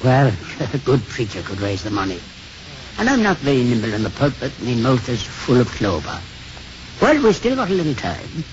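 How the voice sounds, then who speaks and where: A muffled 1950s radio drama with faint noise. an old parish priest. He is humble and fretful, rambling haltingly with lively intonation. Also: medium pitch. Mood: downcast. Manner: rambling, halting, self-deprecating. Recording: muffled, lightly noisy 1950s radio broadcast.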